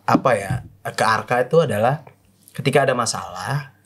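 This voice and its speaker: Relaxed man in casual panel talk. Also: mid-range voice, normal speed, husky.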